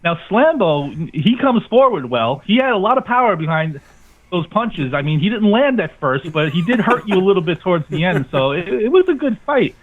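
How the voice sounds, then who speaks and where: Casting: male call-in guest, slightly high voice, casual interview chat over a tinny phone connection. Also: sing-song, normal speed, slight background noise. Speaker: radio network founder and guest. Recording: thin phone-like radio call-in line.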